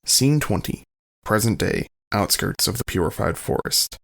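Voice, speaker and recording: A man with a low, extremely creaky voice. He announces scenes in scripted narration for an audio drama. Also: lively intonation, normal speed, a bit bright.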